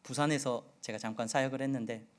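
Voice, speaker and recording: A middle-aged male pastor from the Jeolla region preaching a sermon, with a slight Jeolla accent, asking his congregation many questions and moving between loud and soft delivery. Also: mid-range voice, fairly even intonation, quick pace. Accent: Seoul Korean with occasional Jeolla dialect. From Jeolla region, Korea.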